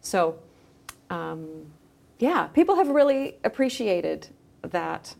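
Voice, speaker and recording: A woman's voice: mid-range voice, sing-song, slow pace, gravelly, a little mellow. A woman speaking as a guest in a conversational podcast interview, phrasing things hesitantly and letting thoughts trail. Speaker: guest, editor and audio creator.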